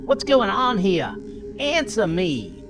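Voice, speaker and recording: A man's voice: sits high, huge pitch swings, slow, noisy background, voice pushed up, full character voice. A man playing a panicked, bewildered voyeur who desperately demands answers in a horror audio drama. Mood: frantic, angry.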